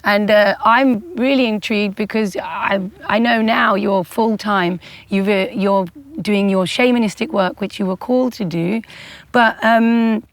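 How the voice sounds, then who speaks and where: A woman's voice: mid-range voice, fairly even intonation, a little slow, dry recording. A woman interviewing in a conversational way, with informal, roundabout phrasing as she leads into her questions. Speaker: interviewer, host.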